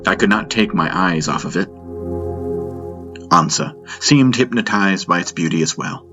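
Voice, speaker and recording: A man's voice: deep voice, animated, moderate pace. A man reading a scripted first-person science-fiction story as an audiobook narrator. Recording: dull muffled sound under loud music. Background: loud music.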